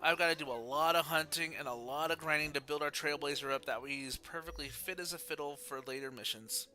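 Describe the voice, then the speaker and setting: A man's voice: on the high side, brisk, bright, forceful tone. A man hosting a casual game livestream, chatting and reading story text aloud. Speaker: video game streamer.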